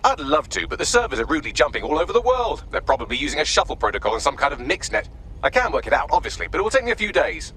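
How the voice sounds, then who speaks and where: An actor playing a witty, smug AI assistant in a video game, glib and dryly sarcastic. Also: male voice, on the high side, very animated, very fast, bright, forceful tone, a little hiss or hum, heavily acted.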